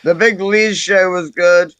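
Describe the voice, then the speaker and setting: A man's voice: high-pitched, steady tone, unhurried, fairly bright tone, clean tone, no room sound. A man chatting bluntly on a group call as a stream guest, swearing a lot and teasing. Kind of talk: casual banter on a group call.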